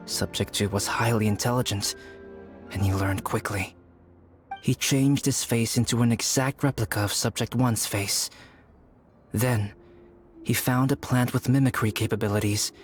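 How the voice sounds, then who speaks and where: An actor playing a calm, pensive young alchemist in a game, speaking in a grave, deliberate, storytelling way over music. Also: male voice, medium pitch, natural voice. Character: calm, pensive young male alchemist telling a dark tale. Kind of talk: measured game dialogue storytelling. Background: background music.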